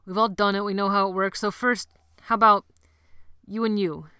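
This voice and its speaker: Woman doing a brash bossy teen boy gang leader, loud and scornful, bright and dry, audiobook. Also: mid-range voice, fairly even intonation, moderate pace, clear, resonant tone, dry recording, close to an everyday voice. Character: cocky teenage boy ringleader, brash and bossy. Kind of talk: audiobook character voice.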